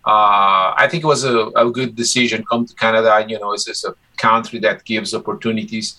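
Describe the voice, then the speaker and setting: A man from Brazil, Portuguese his first language, talks in English with a bright, clear tone. He is relaxed and chatty, often restarting his sentences, and laughs easily as he tells his story in a casual interview. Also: lowish voice, brisk, low-quality recording. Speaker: interview guest, musician.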